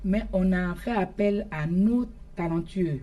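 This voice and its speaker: A woman organizing a village festival, talking in a radio interview with an animated, laughing manner. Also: on the low side, measured pace, highs cut off. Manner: animated, laughs often, switches into her mother tongue.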